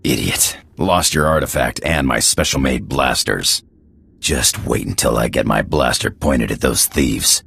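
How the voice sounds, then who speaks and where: A man playing a gruff, cocky, hot-tempered space pirate pilot in a video game, with a gravelly voice and rough slangy talk. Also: rumbling low, unhurried, fairly bright tone, heavily acted. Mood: angry. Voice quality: gravelly, husky.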